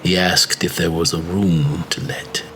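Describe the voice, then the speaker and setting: A man narrating a classic macabre story as an audiobook, with measured, deliberate phrasing. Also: sits low, fairly even intonation, pushed and bright, a little hiss or hum. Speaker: story reader.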